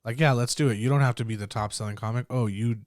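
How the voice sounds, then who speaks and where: Laid-back young comedian, New Mexico accent. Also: male voice, mid-range voice, steady tone, fast talker, no room sound, studio quality. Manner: laid-back, swears often, keeps saying for sure. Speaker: stand-up comedian guest. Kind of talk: casual chat. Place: small podcast studio. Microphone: studio podcast mic.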